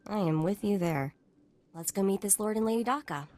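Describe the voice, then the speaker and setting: A woman's voice: medium pitch, brisk, darkish tone, lightly acted. Actress as a chatty, irreverent sidekick woman. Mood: wry, amused. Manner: casual, wry. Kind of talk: video game voice acting.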